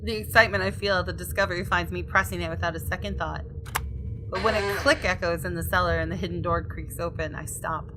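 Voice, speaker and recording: A woman's voice: fairly low pitch, steady tone, fast, noisy background. A woman gaming YouTuber reading a visual novel aloud expressively and adding casual commentary.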